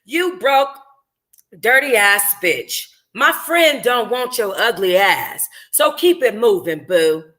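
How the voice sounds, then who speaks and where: Audiobook cousin played by a reader: young woman, loyal and mouthy, blunt sass, bright forceful delivery. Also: fairly high pitch, lively intonation, slow pace. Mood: scornful, fierce. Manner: sassy, confrontational, blunt.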